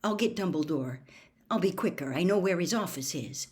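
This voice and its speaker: A woman voicing a worried schoolboy wizard in an audiobook, speaking in an urgent, clear, firm manner. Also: on the low side, lively intonation, normal speed, lightly acted.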